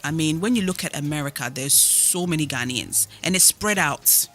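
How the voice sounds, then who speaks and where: A woman's voice: deep voice, animated, normal speed, fairly bright tone, faint music behind. A woman speaking as an interview guest, explaining things in a casual, conversational way.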